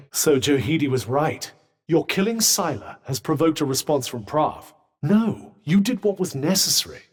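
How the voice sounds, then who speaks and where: A man voicing a sardonic talking magic bracelet in a game, wry and teasing yet steady. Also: on the high side. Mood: reassuring. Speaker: video game companion character. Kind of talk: game voice lines.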